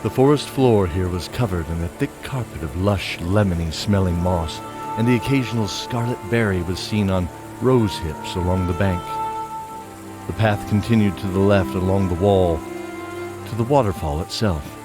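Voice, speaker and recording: A man's voice: low-pitched, moderate pace, over music. A man reading scripted narration for a fantasy audio drama in a descriptive storytelling manner.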